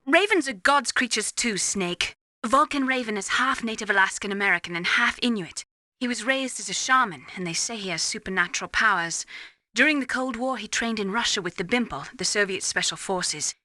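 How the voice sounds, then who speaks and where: Crisp, bright military adviser. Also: female voice, mid-range voice, animated, dry recording, studio quality. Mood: concerned. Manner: measured, informative. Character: composed, concerned female military medical adviser. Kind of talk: video game voice acting.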